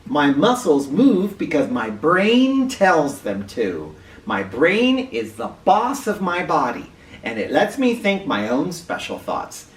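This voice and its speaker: Guy reading and explaining stuff to kids, really lively pitch, bit far from the mic in an echoey room. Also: slow pace. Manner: demonstrative, addresses children directly with questions and emphasis. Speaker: children's read-aloud host and teacher. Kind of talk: educational presentation and picture book read-aloud for children. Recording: roomy, distant mic with faint noise.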